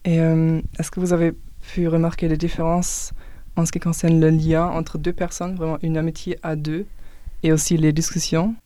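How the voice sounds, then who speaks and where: Woman, German native, flat and dark. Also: deep voice, normal speed, dry recording. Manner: thoughtful, hesitant, restarts words. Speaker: discussion participant. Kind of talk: casual group discussion.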